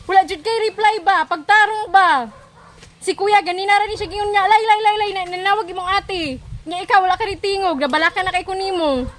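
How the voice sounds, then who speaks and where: A Filipino woman with a Bisaya accent calling out loudly and insistently in agitated, spontaneous phone talk. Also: very high-pitched, brisk, slight background noise. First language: Cebuano. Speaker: target of a phone prank.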